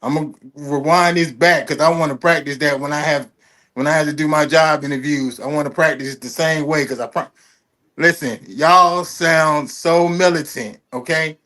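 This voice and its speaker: A man's voice: a little high, fairly even intonation, normal speed, pushed and bright. A man hosting an interview, talking casually and enthusiastically with many restarts and fillers. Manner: enthusiastic, rambling, heavy fillers and repeats. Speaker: host.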